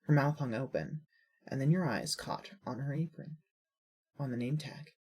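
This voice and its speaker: Woman, low husky voice, scripted story narration, audio fiction narrator. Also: normal speed, a little mellow.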